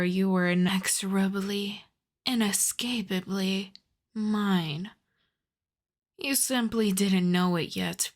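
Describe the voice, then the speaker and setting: A woman playing a seductive, possessive vampire countess in an ASMR roleplay, speaking softly and often slipping into whispers, with condescending tenderness. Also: medium pitch. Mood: possessive, soothing. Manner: slow, crooning, condescendingly tender. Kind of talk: ASMR roleplay, acted monologue.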